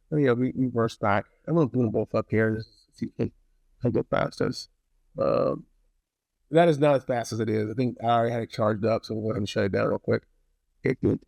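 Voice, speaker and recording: A man's voice: mid-range voice, brisk, creaky, long pauses, a little mellow, no echo. A man talking casually to viewers in a rambling, blunt product review, often repeating phrases for emphasis. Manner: opinionated, blunt.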